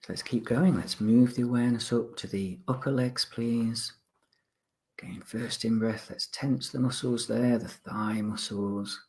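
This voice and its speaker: A man instructing listeners through a guided body scan relaxation, speaking politely in short phrases with pauses. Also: medium pitch, steady tone, a little mellow.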